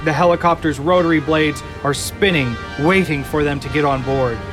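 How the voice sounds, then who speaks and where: A man reading scripted narration for an audio drama in a measured, dramatic way. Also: fairly high pitch, steady tone, over loud music.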